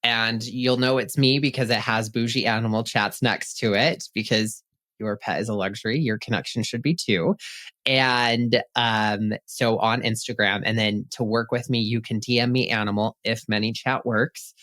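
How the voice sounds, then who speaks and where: A man's voice: mid-range voice, steady tone, moderate pace, a bit bright. A man being interviewed as a pet psychic on a podcast, chatty and upbeat, with some casual swearing.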